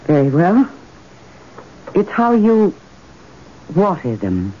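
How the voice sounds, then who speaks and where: Submissive, secretive wife for radio mystery; swooping delivery, dulled vintage sound. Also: female voice, on the low side, big pitch swings, slow pace, husky, highs cut off, exaggerated voice. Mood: coy, ominous. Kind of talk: old-time radio mystery drama acting. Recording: band-limited old radio drama recording.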